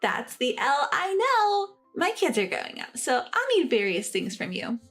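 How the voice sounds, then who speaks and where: A woman's voice: high voice, animated, moderate pace, soft background music. A woman voicing an entitled, snide sister-in-law who talks down to others. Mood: needling. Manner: condescending, needling.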